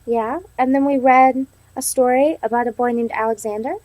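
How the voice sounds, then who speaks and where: A woman's voice: fairly high pitch, steady tone, moderate pace, darkish tone, no echo, low-quality recording. A woman teaching young children in a read-aloud lesson, prompting them with simple questions and reading in an instructional way. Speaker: elementary teacher.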